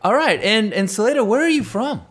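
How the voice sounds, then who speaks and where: A man's voice: high voice, very animated, brisk, fairly bright tone, a little hiss or hum. A man interviewing a guest in a casual, playful, joking way, often restarting his questions. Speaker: interviewer from the game's team.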